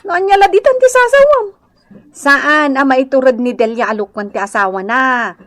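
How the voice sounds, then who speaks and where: Woman acting a high-voiced, reproving Ilocano villager. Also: lively intonation, normal speed, fairly bright tone, no room sound. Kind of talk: Ilocano radio comedy drama acting.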